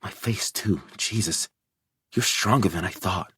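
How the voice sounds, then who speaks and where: A man's voice: fairly low pitch, measured pace, fairly bright tone, slightly rough, dry recording, clean studio sound, full character voice. A man voicing a dazed, shaky young woman just revived, speaking breathily.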